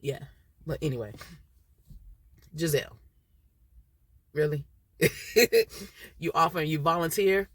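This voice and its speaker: A woman's voice: sits low, slow pace, pauses often, no echo. A woman with a stuffy nose from a cold, chatting casually and laughing often while reviewing a reality TV episode. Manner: chatty, laughs often, loose slangy talk. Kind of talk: casual chat.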